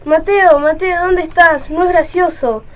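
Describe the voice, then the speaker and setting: A woman's voice: very high-pitched, fairly even intonation, measured pace. A woman with an Argentine accent playing a romantic, fearful young woman in an amateur radio drama. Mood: terrified.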